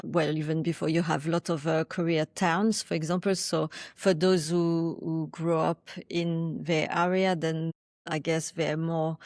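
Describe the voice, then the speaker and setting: Brief: woman guest, thirties, lowish voice, dry close sound, reflective and hesitant delivery. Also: no room sound. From France. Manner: thoughtful, with frequent restarts and hesitations. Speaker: podcast guest. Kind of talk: reflective interview answers.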